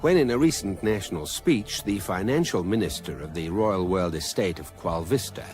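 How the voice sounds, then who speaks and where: An actor, a man, playing an urbane galactic guidebook narrator with a measured, deadpan delivery in a scripted radio comedy. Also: deep voice, soft background music.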